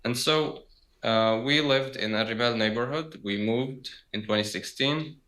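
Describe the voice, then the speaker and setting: A man in his twenties from Gaza, a guest on a video-call interview, speaking slowly with many pauses and fillers. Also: medium pitch, fairly bright tone. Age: 20s. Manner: slow, hesitant, with frequent fillers. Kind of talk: conversational interview. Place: internet cafe.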